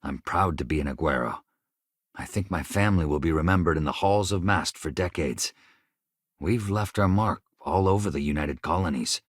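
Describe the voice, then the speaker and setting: An actor playing an earnest, anxious spaceship engineer in a video game, with a husky voice and a hesitant manner. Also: male voice, a bass voice, normal speed, dry recording, clean studio sound. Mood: fond, reflective. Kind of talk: video game character dialogue.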